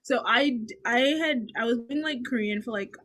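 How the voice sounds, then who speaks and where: A woman's voice: fairly high pitch, moderate pace, fairly bright tone. A woman who grew up speaking Dutch, chatting casually as a podcast co-host. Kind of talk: casual chat.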